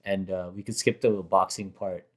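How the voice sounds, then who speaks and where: A hoarse man chatting casually, rambling and correcting himself as he recalls a performance. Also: low-pitched, steady tone, moderate pace. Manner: rambling, self-correcting storyteller. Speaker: rapper reacting to his own performance. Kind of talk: casual chat.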